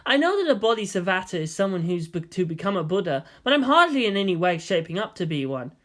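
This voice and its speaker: Narrator as an exasperated, animated teenage boy. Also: medium pitch, fast, close to an everyday voice. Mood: irritated.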